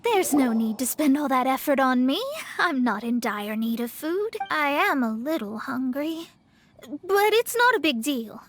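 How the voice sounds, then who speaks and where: Snooty young astrologer lady, high voice, lofty and prickly, game character. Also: lively intonation, unhurried, slight background noise. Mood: haughty. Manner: lofty, formal, self-important. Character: proud young female astrologist, haughty, prickly, secretly hungry. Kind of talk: video game character voice acting.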